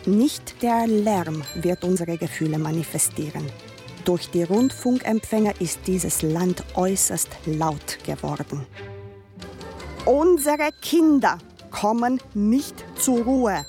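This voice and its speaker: A woman's voice: mid-range voice, lively intonation, slow pace, warm tone, soft background music. An actress playing an exasperated woman reading out a formal, pleading letter of complaint in a radio drama.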